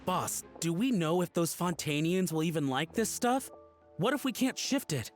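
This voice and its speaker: A man's voice: a little high, big pitch swings, normal speed, soft background music. A man playing a timid, worried young rookie smuggler in a video game. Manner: hesitant, worried. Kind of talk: video game character acting.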